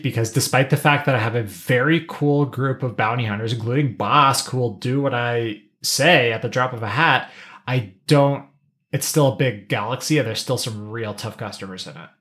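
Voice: mid-range voice, normal speed, a bit bright. A podcast co-host in a casual pop-culture discussion, wry and given to voicing imagined lines.

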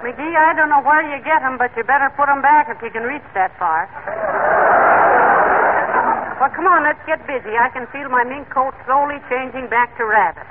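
An actress playing a patient, gently chiding wife with an American accent, polite and teasing, in 1940s radio comedy style. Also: female voice, high voice, a little fast, hardly any pauses, natural voice. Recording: noisy, tinny old radio broadcast.

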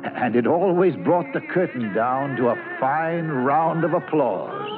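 An actor playing an elderly stage actor who recalls his boyhood wistfully, in a measured, theatrical 1940s radio manner. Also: a little high, animated. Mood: wistful, reflective. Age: older. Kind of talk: 1940s radio drama narration. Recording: old muffled radio broadcast with music behind.

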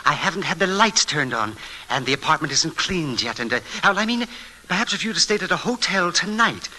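An actor playing a nervous, evasive landlord who hesitates and stammers, in 1940s radio acting style. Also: male voice, a little high, big pitch swings, a little fast, pushed and bright, heavily acted, poor audio. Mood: flustered. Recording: vintage radio broadcast.

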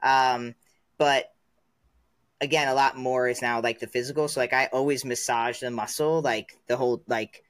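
A man's voice: medium pitch, fairly even intonation, normal speed, fairly bright tone, dry recording. Rambling man in his thirties, dry sound. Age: 30s. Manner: rambling, many "like" fillers. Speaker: podcast guest. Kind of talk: casual chat.

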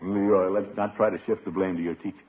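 An actor playing a pompous but soft-hearted middle-aged uncle, theatrical and chuckling, in 1940s radio comedy style on an old broadcast. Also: male voice, low voice, flat delivery, quick pace, slightly rough, phone-quality sound. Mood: indulgent.

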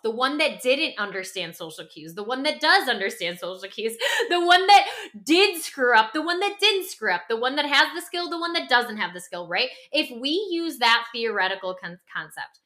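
A woman co-hosting a podcast in animated conversation, emphatic and often checking in with her listener. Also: on the high side. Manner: acts out imagined reactions in quoted voices. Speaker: podcast co-host.

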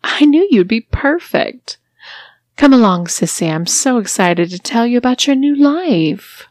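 A woman voicing a wealthy, domineering mistress who talks down in slow, emphatic, mocking phrases. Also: a little high, animated, darkish tone, dry recording, studio quality, pitched above the performer's own voice. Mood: gloating. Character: commanding condescending wealthy mistress, adult woman.

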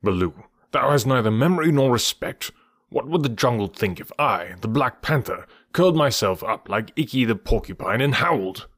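A man's voice: medium pitch, animated, normal speed, a bit bright, no room sound, studio quality. A man doing a stern scornful panther.

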